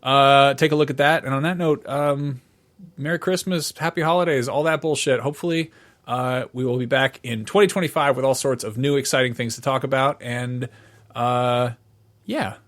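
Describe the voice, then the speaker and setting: A middle-aged man speaks at an average pitch as a podcast co-host. His delivery is informal and unscripted. He has a wry, irreverent way of putting things in loose conversation. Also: steady tone, moderate pace, fairly bright tone. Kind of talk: casual, unscripted chat.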